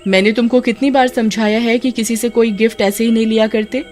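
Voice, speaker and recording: A woman's voice: a little high, fairly even intonation, brisk, darkish tone, faint music behind, lightly acted. A woman voicing a strict, irritable mother who scolds sternly in a horror story.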